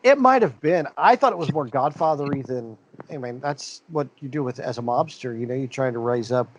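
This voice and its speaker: A man's voice: medium pitch, expressive, a little fast, noisy background. Rambling middle-aged man, casual podcast chat. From American Midwest. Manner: rambling, many restarts and fillers. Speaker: podcast co-host.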